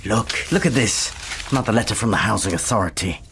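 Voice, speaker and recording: A man's voice: lowish voice, animated, a little fast, background noise. An actor in a radio drama playing a bitter, domineering father who grumbles sarcastically about money. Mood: sarcastic, irritated.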